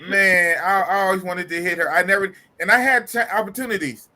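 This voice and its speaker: A man in a casual group chat, joking and throwing in quick remarks. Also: sits high, bright, forceful tone.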